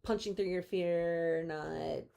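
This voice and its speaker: A woman's voice: fairly low pitch, fairly even intonation, slow pace, gravelly, mellow. A woman in her thirties from Texas, hosting a podcast in casual chat, self-deprecating and giggly. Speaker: podcast host.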